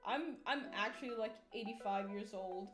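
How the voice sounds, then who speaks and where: A woman's voice: mid-range voice, normal speed, reverberant, over music. A woman in her twenties chatting casually on a livestream while drawing, rambling, tired and yawning, swearing offhand and making self-mocking jokes. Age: 20s. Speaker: artist livestreamer.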